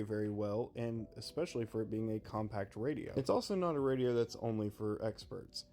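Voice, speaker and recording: A man's voice: medium pitch, mellow. A man narrating a scripted product review in an explanatory, presenter-like way. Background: background music.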